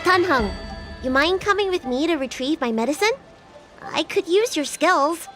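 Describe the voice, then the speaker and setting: Tiny girl healer game character, high n youthful, very animated and dramatic, music behind. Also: normal speed. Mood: businesslike, flustered. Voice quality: youthful, girlish. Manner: expressive, exclaiming, dramatic. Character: small excitable young girl healer, bossy yet earnest. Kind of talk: video game character acting. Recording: game audio with background music.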